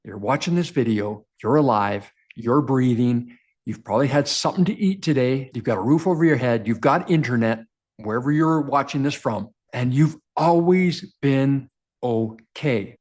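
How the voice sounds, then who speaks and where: A man with a baritone voice, hosting a motivational talk for men and speaking earnestly and directly to the camera while he repeats phrases for emphasis. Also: a little mellow. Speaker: men's coach and show host.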